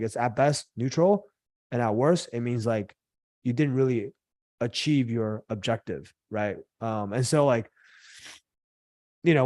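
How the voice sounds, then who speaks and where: A man's voice: medium pitch, darkish tone, no echo, clean studio sound. A man who is a little sick and losing his voice, hosting a casual live Q&A and answering questions with lots of "like" and "right?". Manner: filler-heavy, lots of "like" and "right?". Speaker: host of a live Q&A for finance career coaching.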